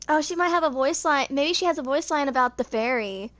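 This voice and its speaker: A woman's voice: high voice, a little fast, fairly bright tone, dry recording. A woman in her twenties hosting a gaming video, chatting casually and reading game text aloud, sometimes stumbling over words. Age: 20s.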